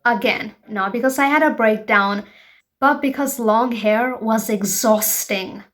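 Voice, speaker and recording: A woman's voice: a little high, unhurried, fairly bright tone. A woman in her twenties from Bangladesh hosting her own show, talking frankly and emphatically to her viewers. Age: 20s. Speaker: show host. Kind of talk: personal vlog monologue.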